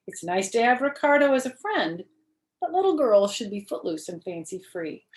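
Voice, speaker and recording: A woman's voice: a little high, sing-song, normal speed, echoey room, pitched above the performer's own voice. A woman voicing a protective father in a children's storybook, giving advice in a firm parental manner.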